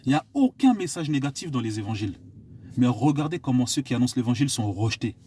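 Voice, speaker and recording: A man's voice: mid-range voice, big pitch swings, quick pace, warm tone, slight background noise. A man from France preaching to his listeners, repeating pointed questions and coughing at times. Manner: exhorting, repeats rhetorical questions. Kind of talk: live online sermon.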